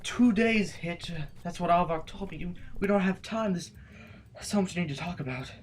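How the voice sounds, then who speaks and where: A woman acting an anxious, earnest comrade in a science fiction audio drama, speaking in a halting, pleading way. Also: fairly low pitch, soft background music, close to an everyday voice. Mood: urgent, anxious.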